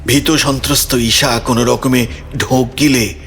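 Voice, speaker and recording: A man's voice: medium pitch, steady tone, measured pace, a bit bright. A man narrating a scripted audio story in a dramatic storytelling style. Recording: music behind the voice.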